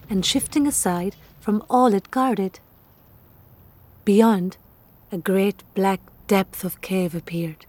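A woman playing a solemn storyteller, giving measured, portentous narration of an ancient tale in an audio drama. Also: mid-range voice, darkish tone, a little hiss or hum, natural voice. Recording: faint ambience behind voice.

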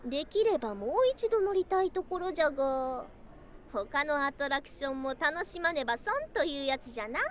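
Very high, girlish lamia bride. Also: a little fast. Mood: playful, curious. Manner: archaic old-fashioned speech with girlish excitement. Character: doting, excitable young lamia bride. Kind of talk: ASMR situation voice drama, addressed to the listener.

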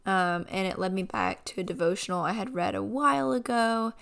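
A woman hosting a casual devotional podcast, her voice a bit raspy as she gets over a cold, speaking in an earnest, reflective way. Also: medium pitch, expressive, brisk, fairly bright tone. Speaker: podcast host.

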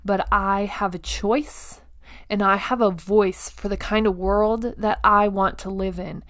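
A woman hosting a motivational monologue, speaking directly to the listener with emphatic phrasing, deliberate pauses, repetition and rhetorical check-ins. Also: medium pitch, fairly even intonation, fairly bright tone. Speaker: podcast host.

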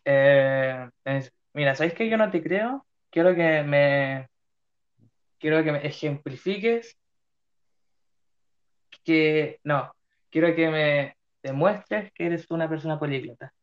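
A young man with a Chilean Spanish accent leads a playful mock interview. His voice is fairly high, and he rambles and hunts for words. The recording sounds dull and muffled. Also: takes it slowly. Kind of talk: casual role-played interview.

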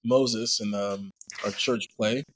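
A man's voice: fairly low pitch, steady tone, slow, a little hiss or hum. Easygoing male guest from Montreal. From Montreal, Quebec, Canada. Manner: easygoing, rambling, with "like" and "um" fillers. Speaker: guest actor. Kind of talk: casual interview chat.

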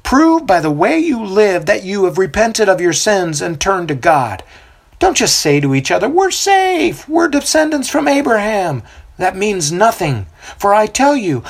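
A storyteller voicing a fiery male desert prophet with a booming, coarse voice who preaches loudly to a crowd. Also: sing-song, unhurried. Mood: stern.

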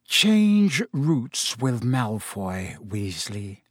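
A man voicing a cold, menacing, scornful male professor in an audiobook, speaking slowly and deliberately. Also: mid-range voice, big pitch swings, no room sound, clean studio sound, full character voice. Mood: cold command. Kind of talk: audiobook character voice.

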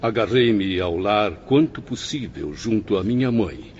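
A man's voice: fairly low pitch, expressive, measured pace, a little mellow. A man playing a proud, gloomy, elegant professor, speaking formally and pompously in a radio drama. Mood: bleak, haughty. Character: Belarmino, proud, gloomy, elegant Portuguese professor, bitterly nihilistic. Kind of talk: solemn radio drama acting. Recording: dull lo-fi with faint noise.

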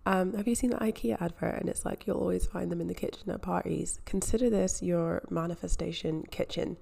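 Young Scottish woman, podcast host, candid and chatty, lower-pitched. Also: steady tone, brisk, vocal fry, warm tone, husky. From Scotland. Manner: chatty and candid, self-deprecating asides. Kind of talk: casual solo podcast monologue.

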